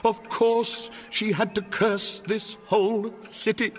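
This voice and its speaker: Cursed Venetian nobleman, theatrical, remorseful, higher-pitched male voice, audio drama with faint music. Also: flat delivery, takes it slowly. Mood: bitter regret. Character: cursed, long-lived Venetian duke, remorseful and self-pitying.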